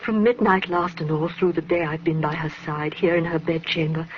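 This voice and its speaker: A woman's voice: low-pitched, steady tone, moderate pace, close to an everyday voice. An actress playing an anxious, foreboding noblewoman aunt with a dramatic manner in an old radio horror drama. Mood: grave, accusing. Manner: dramatic, ominous. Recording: muffled, hissy old radio broadcast.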